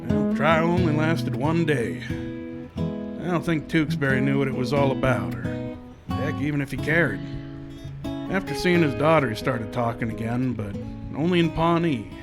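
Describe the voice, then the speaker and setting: A man's voice: mid-range voice, animated, normal speed, close to an everyday voice. Guy playing an old west marshal on a radio show, sombre but kind, guitar playing under him. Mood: reflective narration. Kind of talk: live old-time radio western acting.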